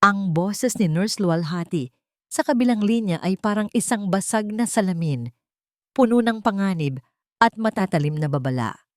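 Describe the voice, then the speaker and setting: A woman's voice: medium pitch, lively intonation, normal speed, dry recording, studio quality. A woman reading a scripted first-person dramatic story as its narrator.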